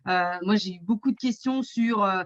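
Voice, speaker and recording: A woman's voice: mid-range voice, normal speed, no room sound, muffled recording. A woman from France speaking as an export advisor on a webinar panel, with many fillers and restarts.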